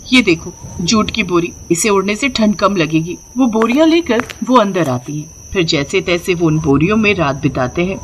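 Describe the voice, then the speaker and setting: A woman's voice: medium pitch, a little hiss or hum, poor audio. A woman narrating a scripted moral story as the storyteller.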